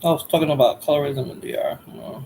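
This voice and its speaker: A woman's voice: deep voice, wildly swooping pitch, fast talker, creaky, dark tone, a little hiss or hum, low-quality recording. A woman with a Bronx accent hosting a casual livestream chat, laughing often and repeating herself. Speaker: livestream host.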